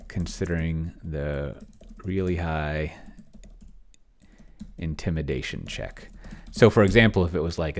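Middle aged guy w a really low voice, kinda muttering to himself as he works at his desk, halting, casual, close mic. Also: vocal fry, slight background noise. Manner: halting, murmuring to himself while typing and reading. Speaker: host, solo roleplaying player. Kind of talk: casual think-aloud screen commentary. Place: small room at home, indoors. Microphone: desk microphone close to the mouth.

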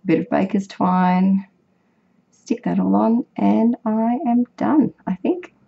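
Croaky-voiced young woman chatting. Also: medium pitch, steady tone, slow. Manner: chatty, rambling, with fillers and self-corrections. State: croaky, sick voice. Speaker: scrapbooking vlogger. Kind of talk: casual voice-over narration of a craft process. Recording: dull lo-fi home recording.

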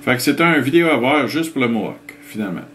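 A middle-aged man with a Québécois accent talks into a webcam at home and answers viewer messages one after another. His voice sits at an ordinary mid pitch and his delivery is loose and unhurried. A light hiss runs under the recording. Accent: Québécois French. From Quebec, Canada. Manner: relaxed, chuckling, explains step by step. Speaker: vlogger answering viewer comments. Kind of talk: casual chat reading out comments.

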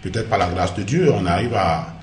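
A man's voice: deep voice, expressive, brisk. A man in his forties with an Ivorian accent, answering as an interview guest, hesitant and repeating phrases for emphasis. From Ivory Coast. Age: 40s. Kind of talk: studio interview conversation. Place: small indoor TV studio. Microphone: shared desk microphone. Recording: roomy, echoey studio sound.

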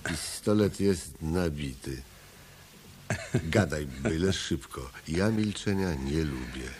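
Cool, menacing middle-aged rich man, extremely deep voice, slow and lively. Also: noisy background, heavily acted. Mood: cool menace. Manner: polite, then coolly threatening.

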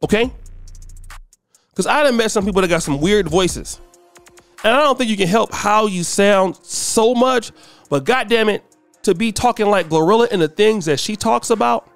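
A man's voice: lively intonation, normal speed, vocal fry, smooth, no room sound, soft background music. Blunt, preachy man host. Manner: preachy, blunt, rising in pitch when worked up. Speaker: commentary channel host. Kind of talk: opinionated commentary monologue.

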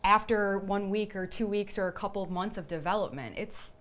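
A woman with a medium-pitched voice co-presents a technical product review. Her delivery is informal and enthusiastic, like a casual conversation about gear she knows well. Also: brisk, a bit bright, a little hiss or hum.

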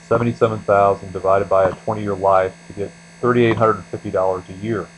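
A man giving an explanatory screencast lecture as an instructor, speaking deliberately and letting the ends of phrases trail off. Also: on the low side, poor audio. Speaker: accounting instructor. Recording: steady mains hum behind voice.

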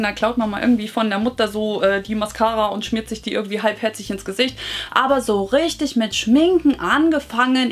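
A woman of thirty from Hesse with a slight Hessian German accent, chatting casually and informally to camera as she answers viewer questions. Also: mid-range voice. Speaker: beauty YouTuber.

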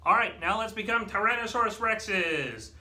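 An American man in his thirties leads a children's storytime as a librarian, speaking in an animated, exaggerated way for young listeners. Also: high voice, normal speed. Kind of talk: children's storytime, leading rhymes and movement songs. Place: small indoor meeting room with whiteboard.

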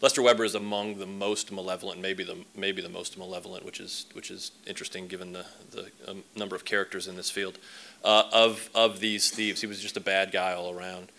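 A man's voice: low voice, flat delivery, brisk, creaky, pushed and bright. A man in his forties giving a conversational talk at a symposium, with many fillers and restarts, speaking from a distance to a stand microphone in a large lecture hall. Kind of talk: conference talk. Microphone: stand mic set at a distance.

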